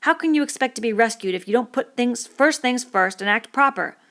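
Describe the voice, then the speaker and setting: A woman's voice: a little high, animated, a little fast, fairly bright tone, voice pushed up. A woman reading an audiobook voices an indignant, bespectacled schoolboy who lectures the others in breathless working-class dialect.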